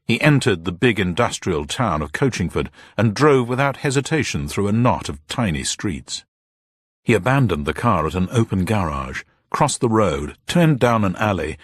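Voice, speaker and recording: A man's voice: fairly low pitch, very animated, normal speed, slightly rough, no room sound, clean studio sound. A man reading a detective story as a dramatic scripted narration. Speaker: audiobook narrator.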